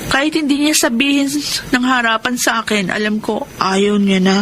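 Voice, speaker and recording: An actress with a Filipino accent playing a lovesick, dutiful young daughter in a radio drama, earnest and heartfelt. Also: female voice, fairly high pitch, fairly even intonation, moderate pace, background noise, natural voice. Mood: lovelorn, sad.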